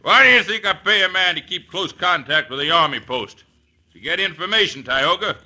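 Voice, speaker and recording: An actor playing a ruthless, scheming American outlaw boss in an old-time radio western, talking in rough, folksy frontier style and barking orders. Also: male voice, sits high, expressive, normal speed, pushed and bright, full character voice. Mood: gloating, scheming.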